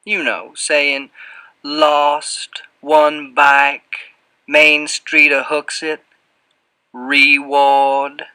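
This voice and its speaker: A man voicing a huge, sly, taunting fat man with a slow, insinuating Southern drawl in an audiobook. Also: a little high, flat delivery, pushed and bright, clear, resonant tone, dry recording, pitched above the performer's own voice, heavily acted, poor audio. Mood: insinuating, leering. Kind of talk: audiobook character voice.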